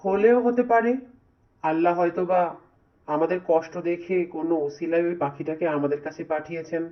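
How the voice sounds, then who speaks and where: A man's voice: fairly high pitch, fairly even intonation, moderate pace, close to an everyday voice. A man voicing a poor, worried village father in a cartoon, humble and pious. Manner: pleading, weary. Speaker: voice actor. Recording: dull, lo-fi recording.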